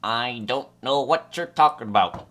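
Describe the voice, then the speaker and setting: A man's voice: medium pitch, lively intonation, normal speed, bright, forceful tone. A man playing a grandiose, haughty suspect who calls himself by a stage-magician title. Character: grandiose male suspect calling himself the Grape Houdini.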